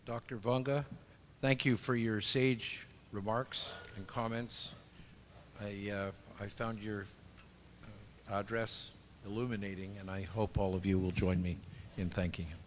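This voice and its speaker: A man's voice: on the low side, level tone, unhurried, a little hiss or hum. A man presiding over a ceremony, giving brief formal closing remarks in a somewhat hesitant way with fillers.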